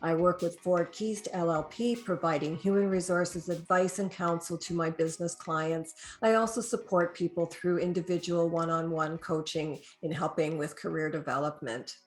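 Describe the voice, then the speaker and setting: A woman's voice: lowish voice, fairly even intonation, normal speed, few pauses, faint music behind. A woman with a Canadian accent, speaking as the host of a podcast interview.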